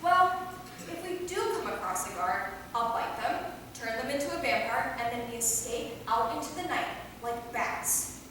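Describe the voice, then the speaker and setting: A woman playing a cocky, mischievous, excitable young female vampire in a staged play reading, with a sassy, boastful delivery. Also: fairly high pitch, normal speed, natural voice. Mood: cocky, excited. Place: theater hall, recorded from a distance. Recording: very echoey hall, far mic, noisy.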